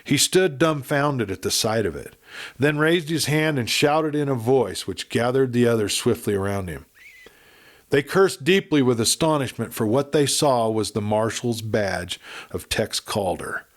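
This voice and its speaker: Male narrator for western audiobook: medium-pitched, measured, dramatic storybook delivery. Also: lively intonation. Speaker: audiobook reader.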